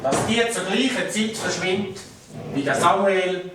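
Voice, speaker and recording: Man doing an earnest swiss cop at the desk, bit hesitant, slightly high voice, noisy track. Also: lively intonation, measured pace. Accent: Swiss German. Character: earnest male desk policeman. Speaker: police officer. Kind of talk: children's radio play acting. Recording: noisy recording.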